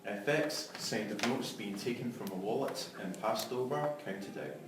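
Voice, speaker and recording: A man's voice: medium pitch, moderate pace. Male script reader, scene directions and effects cues, very reverberant room, off-mic. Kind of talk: flat script read-aloud of scene directions and sound effects. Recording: echoey room, far from mic, faint noise.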